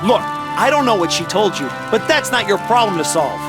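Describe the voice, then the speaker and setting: An actor playing a brash, hot-headed but caring young ninja in an anime-style video game, blunt and emphatic. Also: male voice, high-pitched, big pitch swings, normal speed, over loud music. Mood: earnest, firm. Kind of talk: video game voice acting in anime dub manner.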